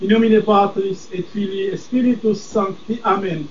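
An older man, a priest whose first language is Aramaic, answering interview questions in a firm, deliberate way. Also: high voice, fairly even intonation, background noise. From Middle East. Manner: firm, deliberate, measured emphasis. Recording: distant, dull lo-fi sound.

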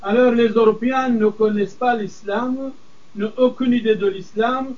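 Older priest, Aramaic native, firm and measured; high voice, far from the mic. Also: male voice, steady tone, a little mellow. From Middle East. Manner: firm, deliberate, measured emphasis. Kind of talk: interview answers. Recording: distant, dull lo-fi sound.

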